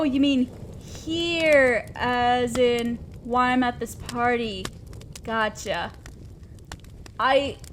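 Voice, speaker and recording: A woman's voice: high voice, slight background noise. A woman playing a shy, awkward, kind young neighbor in an intimate roleplay audio drama, speaking hesitantly and apt to ramble self-consciously. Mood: hesitant, casual. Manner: hesitant, soft-spoken, rambling, self-deprecating. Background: outdoor fire ambience.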